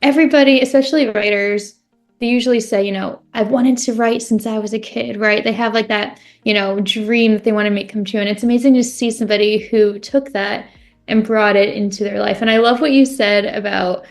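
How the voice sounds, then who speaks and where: A woman's voice: medium pitch, steady tone, a little fast. A woman hosting a podcast interview, chatty and encouraging, with lots of "you know". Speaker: podcast host.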